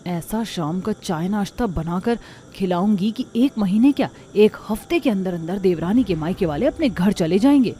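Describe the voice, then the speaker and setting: A woman's voice: mid-range voice, lively intonation, normal speed, warm tone, slight background noise, lightly acted. A woman voicing a resentful, scheming elder daughter-in-law in a cartoon, grumbling her complaints.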